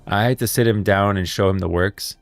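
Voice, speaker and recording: A man's voice: on the low side, fairly quick, creaky, no room sound, soft background music. A man hosting a livestream, chatting casually and thinking aloud to viewers in informal, slangy language. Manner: informal slangy talk addressing viewers as guys and bros. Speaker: livestreamer and host.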